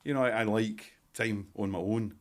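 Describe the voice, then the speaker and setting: A Scottish man in his fifties, a hairdresser guest in a casual interview, talking in a dry, self-deprecating, measured way. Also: on the low side, steady tone. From Scotland. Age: 50s.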